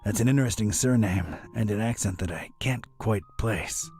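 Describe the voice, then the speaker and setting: A man playing a gruff, wry, old-fashioned private detective in an animated video game, probing with old-time gumshoe phrasing. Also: fairly low pitch, normal speed, soft background music. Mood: dry, probing.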